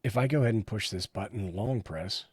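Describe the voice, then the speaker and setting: Very deep-voiced man, crisply recorded. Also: fairly quick, darkish tone, dry recording. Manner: explanatory, talking through each step. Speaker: radio hobbyist reviewer, host. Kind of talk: casual hands-on product review narration.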